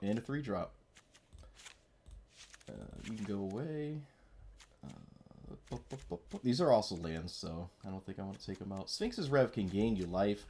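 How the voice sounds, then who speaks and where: A man's voice: lowish voice, darkish tone, a little hiss or hum. Congested man rambling over a card game. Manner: mumbling, rambling, self-deprecating. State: nasal from a cold. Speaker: streamer. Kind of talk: casual gameplay commentary.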